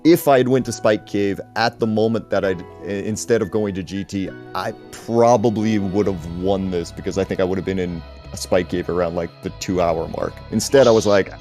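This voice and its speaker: Deep-voiced man rambling casually. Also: moderate pace, hardly any pauses, darkish tone, faint music behind. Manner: rambling, repeats words and restarts a lot. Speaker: tournament racer. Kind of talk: casual post-race chat.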